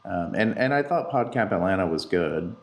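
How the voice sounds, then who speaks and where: Male podcast co-host, deep voice, conversational and agreeable. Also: animated, moderate pace. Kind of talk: casual conversational podcast chat.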